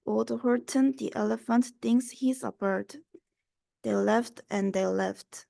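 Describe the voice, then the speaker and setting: A woman's voice: on the high side, slow pace, gravelly, warm tone, no echo. A young woman reading a children's rhyming story aloud. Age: young adult. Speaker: student reader.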